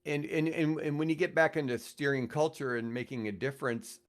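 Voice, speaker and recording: An older man with an American accent, telling rambling, animated anecdotes casually as a radio veteran on a podcast. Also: on the high side, brisk. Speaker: radio host and guest.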